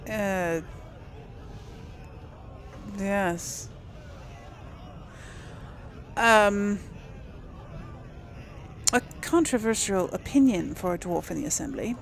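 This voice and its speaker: A woman's voice: mid-range voice, expressive, extremely slow, creaky, long pauses, a faint crowd, a little hiss or hum. A woman streaming a role-playing game, thinking aloud in casual, chatty commentary. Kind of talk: casual let's play commentary.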